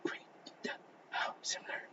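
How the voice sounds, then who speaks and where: A man's voice: far from the mic, muffled recording. Man whispering with a lost voice. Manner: halting, with restarts. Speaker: student presenter. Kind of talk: class presentation over slides.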